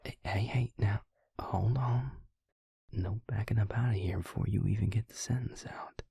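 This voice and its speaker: A man playing a gentle, devoted vampire lover in an intimate roleplay audio, speaking tenderly and haltingly in a breathy, gravelly near-whisper. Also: on the low side, steady tone, no room sound, heavily acted. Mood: surprised, gentle. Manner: slow, tender, halting delivery.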